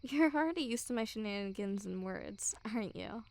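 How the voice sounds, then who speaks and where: A woman's voice: medium pitch, animated, brisk, a little mellow, close to an everyday voice. A young woman playing a smug, mocking school bully girl who teases with a hint of care, in an intimate ASMR roleplay. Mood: probing, teasing. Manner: mocking, teasing, giggly. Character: teasing, smug school bully girl, secretly a little caring. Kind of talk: ASMR girlfriend roleplay, intimate one-sided dialogue.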